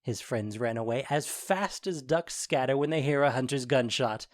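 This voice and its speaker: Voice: mid-range voice, normal speed, lightly acted. An actor playing a mischievous fairy servant in an audio drama, telling things with gleeful, boastful theatricality. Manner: animated, boastful storytelling.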